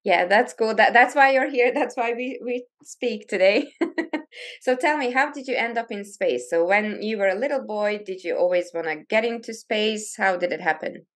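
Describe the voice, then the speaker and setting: Young woman, interview host, upbeat and quick to laugh, middle pitch, video call.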